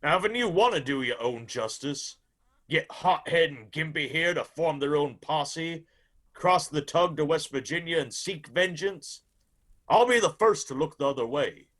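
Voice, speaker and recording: A man playing a blunt, grimly firm country lawman in a radio drama, with an Appalachian accent and a measured, folksy manner. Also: on the high side, lively intonation, a bit bright. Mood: grimly determined.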